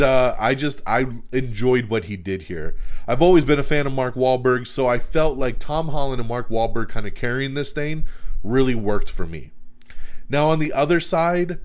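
A man hosting a podcast, giving a casual, frank and opinionated movie review that rambles with restarts. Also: mid-range voice.

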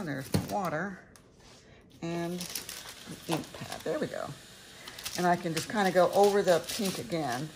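An older woman chatting casually and rambling a little as she talks through a craft demonstration at home in her kitchen. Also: fairly low pitch. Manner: rambling, chatty, self-correcting. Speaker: craft tutorial host. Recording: clearly noisy home recording with clicks.

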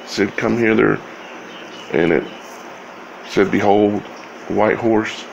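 Slow, very gravelly man. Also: lowish voice, steady tone, lo-fi sound, slight background noise. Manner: halting, broken phrases with long pauses. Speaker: video host. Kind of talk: informal scripture commentary to viewers.

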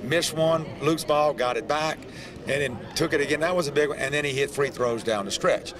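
An older man, a basketball head coach, answering post-game questions courtside in a calm, halting way with many restarts. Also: on the high side. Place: indoor basketball arena, courtside. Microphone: handheld reporter mic. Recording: crowd noise in a large arena. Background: arena crowd.

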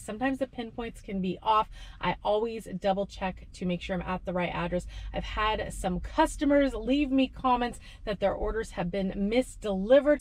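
A woman's voice: medium pitch, no echo, rough recording. A woman in her thirties, a delivery driver, chatting casually to camera from inside her parked car, talking with her hands. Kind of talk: casual vlog narration. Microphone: camera on the dashboard.

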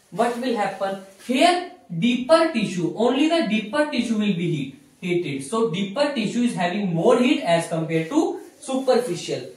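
A young man with an Indian accent gives a lecture, his pitch swinging up and down with emphasis. He repeats phrases and poses questions that he answers himself. The room is very echoey and the microphone sits some way off. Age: young adult. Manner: repetitive, asks and answers own questions. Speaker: physiotherapy lecturer. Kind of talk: whiteboard lecture. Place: small indoor room at a whiteboard. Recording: echoey room, distant mic.

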